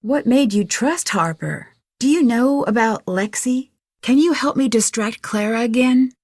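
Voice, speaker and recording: An actress with an American accent playing an inquisitive, determined young amateur detective in a video game, speaking clearly and questioningly. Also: female voice, a little high, animated, measured pace, darkish tone, no echo, clean studio sound. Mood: curious, probing. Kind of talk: scripted video game dialogue.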